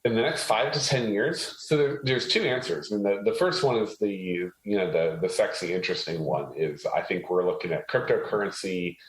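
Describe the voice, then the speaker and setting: Deep-voiced male guest in an echoey room. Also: moderate pace, hardly any pauses. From Haiti. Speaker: interview guest, tech entrepreneur. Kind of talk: conversational storytelling and explaining. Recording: roomy, echoey sound.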